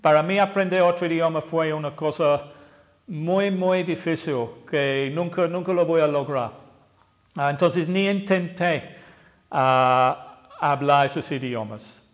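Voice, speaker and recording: A man in his sixties from England, an English teacher with an English accent, talking casually to camera, often pausing and correcting himself. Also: fairly high pitch. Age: 60s. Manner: hesitant, self-correcting, plain-spoken.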